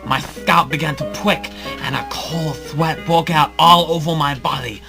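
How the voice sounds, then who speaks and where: A man's voice: a little high, steady tone, a little slow, over music. A man playing a tense adventurer, narrating a dramatic hunting story in a scripted storytelling style. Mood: tense, suspenseful. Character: tense adventurer telling his tiger-hunting tale.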